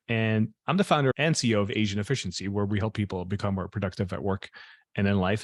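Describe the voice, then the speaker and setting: A man's voice: mid-range voice, no room sound, studio quality. A man hosting a podcast, introducing and interviewing a guest in a conversational presenter style.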